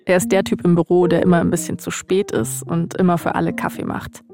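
Low-pitched woman narrator with creaky edge. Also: flat delivery, brisk, music in the background. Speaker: podcast host and narrator. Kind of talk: scripted podcast narration.

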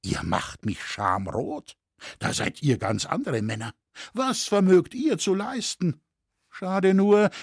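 A husky old craftsman from a historical storybook, played by a man, wry and mock-earnest. His phrasing is flowery and ironic, with wide swings in pitch, recorded close and dry. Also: darkish tone, no echo, full character voice. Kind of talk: audiobook character acting.

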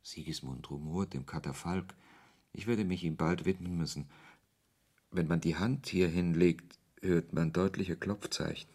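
An actor playing a reflective author who narrates his own tale in a German radio drama, in a measured, literary manner. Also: low-pitched, darkish tone, slightly rough, exaggerated voice. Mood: musing.

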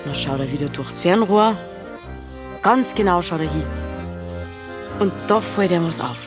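A woman's voice: fairly low pitch, lively intonation, a little slow, over music. An actress playing a plucky, affectionate, teasing young female hiker with a Bavarian accent, in a naturalistic dialect radio drama. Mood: storytelling.

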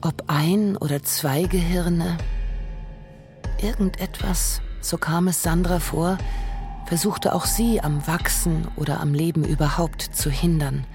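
Very low-voiced female radio play narrator. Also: measured pace, a little mellow. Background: faint music.

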